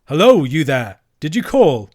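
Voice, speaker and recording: A man acts out a stubborn country servant from a storybook. He is wary and blunt, drops his aitches and talks in folksy rural grammar, pitched a little above a plain narrating voice. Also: animated, fairly quick, lightly acted. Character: wary stubborn manservant with rustic country speech.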